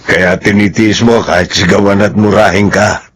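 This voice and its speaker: A man's voice: on the low side, fairly even intonation, moderate pace, slight background noise, natural voice. An actor playing an ailing, concerned older father in a radio drama, speaking apologetically. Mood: concerned, fond.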